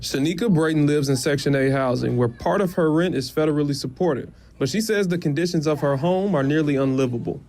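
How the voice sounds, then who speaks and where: Higher-pitched American man reporting TV news. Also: a little fast, few pauses, a little mellow. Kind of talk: scripted news report narration.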